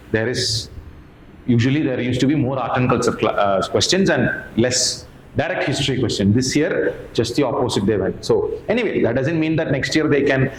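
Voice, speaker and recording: A man with an Indian English accent giving an explanatory history lecture to exam candidates, repeating key terms and posing rhetorical questions. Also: medium pitch. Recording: echoey room with faint background noise.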